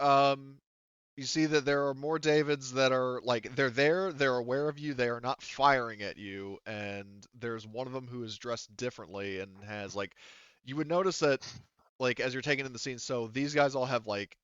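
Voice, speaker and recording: A man acts as game master in a smooth, clear voice with a dry, slightly muffled sound. He narrates casually and haltingly, thinking aloud as he goes and cursing now and then. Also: medium pitch, a bit bright, no echo.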